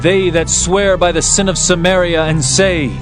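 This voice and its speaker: A man's voice: sits high, flat delivery, normal speed. A man narrating dramatized scripture in a solemn, declamatory manner. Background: background music.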